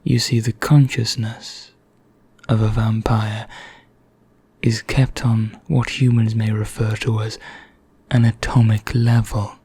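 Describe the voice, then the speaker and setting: Guy doing an asmr vampire, bored and courteous, dark soft voice, super slow and whispery. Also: fairly low pitch, level tone, no room sound, heavily acted. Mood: confiding, secretive. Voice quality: hushed, breathy, often whispering. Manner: slow, deliberate, genteel and conspiratorial. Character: bored, secretive immortal male vampire, darkly courteous. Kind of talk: ASMR roleplay.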